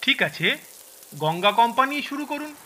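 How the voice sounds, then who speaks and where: Male actor as brisk family quiz moderator, high-pitched, very bright voice, animated, audio drama. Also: exaggerated voice.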